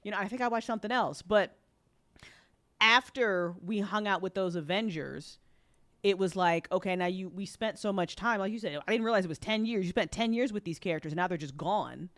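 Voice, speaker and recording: A woman's voice: medium pitch, fairly quick. A woman talking casually and bluntly on a panel as host, with emphatic opinions and free swearing.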